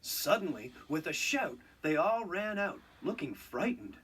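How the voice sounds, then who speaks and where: A man narrating a children's read-along storybook in an expressive, scripted storytelling style. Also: high voice, measured pace, breathy edge, distant mic.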